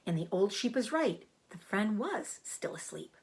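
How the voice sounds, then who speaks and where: Woman reading a storybook with lively intonation. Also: medium pitch, a little slow. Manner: expressive, explains hard words. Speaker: teacher reading aloud. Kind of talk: storybook read-aloud narration.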